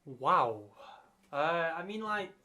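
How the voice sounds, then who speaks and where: A man's voice: on the high side, expressive, slow pace, pushed and bright. A man reacting casually and joking, rambling with hesitant restarts. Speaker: co-host reacting.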